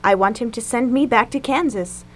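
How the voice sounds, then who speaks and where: A woman voicing a kind, timid young girl who speaks softly in a storybook reading. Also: on the high side, moderate pace, pitched above the performer's own voice, close to an everyday voice. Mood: earnest. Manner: soft and timid.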